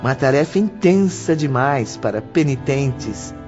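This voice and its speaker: A man with a Brazilian accent narrating an audiobook in a solemn, deliberate, scripted style. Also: mid-range voice, animated. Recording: dull muffled audio under loud music.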